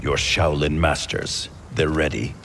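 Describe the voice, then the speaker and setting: An actor playing a stern, suspicious warrior leader in a video game, with a gravelly voice. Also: male voice, very deep voice, level tone, measured pace, very noisy. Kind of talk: dramatic video game acting.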